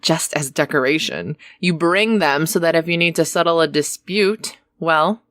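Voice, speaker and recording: A woman's voice: low-pitched, moderate pace, fairly bright tone, no room sound. A woman playing a haughty, cruel alien queen in an audio drama, with a taunting, imperious delivery. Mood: commanding.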